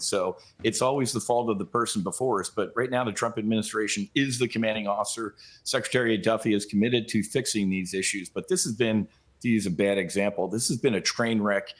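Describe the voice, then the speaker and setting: A middle-aged American man giving blunt, colloquial commentary as a pilot guest in a live news interview. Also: fairly low pitch.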